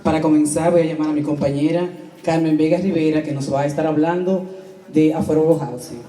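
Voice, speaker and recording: Fast-talking man with a mellow voice. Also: on the high side, fairly even intonation. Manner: brisk, organized announcements. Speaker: town hall co-moderator. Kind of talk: formal address to an audience. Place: community center hall. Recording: echoey hall from far off.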